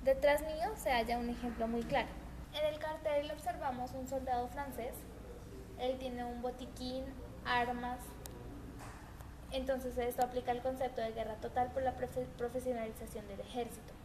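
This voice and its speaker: Woman presenter, high voice, earnest reading, noisy homemade recording. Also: flat delivery. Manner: earnest, slightly halting with small restarts. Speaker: documentary presenter. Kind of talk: reading a school history presentation.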